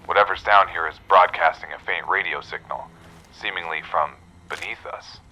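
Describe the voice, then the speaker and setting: A dutiful expedition officer in a video game, with a very deep voice and a hard, forceful edge. He reports formally and confidently, as if logging for his superiors. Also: normal speed, muffled recording. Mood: measured, resolute. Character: male military expedition officer, confident, dutiful, recording a field log. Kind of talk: scripted video game log narration.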